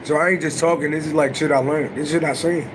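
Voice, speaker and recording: A middle-aged man with a naturally raspy voice, chatting loosely and profanely on a livestream and answering viewers' comments. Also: steady tone, fast talker, background noise. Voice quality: deep voice. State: voice a little worn from singing. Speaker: livestream host and astrology reader. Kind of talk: casual livestream chat.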